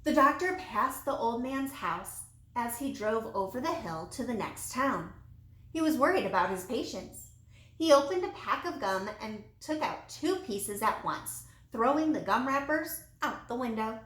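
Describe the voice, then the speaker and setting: Animated woman reading a storybook, echoey room. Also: fairly high pitch, measured pace. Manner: animated, dramatic emphasis, acts out dialogue. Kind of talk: expressive children's storybook read-aloud. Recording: roomy, echoey sound.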